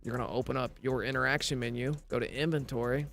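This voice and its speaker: A man's voice: mid-range voice, fairly even intonation, quick pace, creaky, a bit bright, faint music behind. Scratchy-voiced man giving game tutorial. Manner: instructional, walks through steps plainly. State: scratchy voice from recovering illness. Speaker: gaming tutorial narrator. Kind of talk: casual step-by-step game tutorial voice-over.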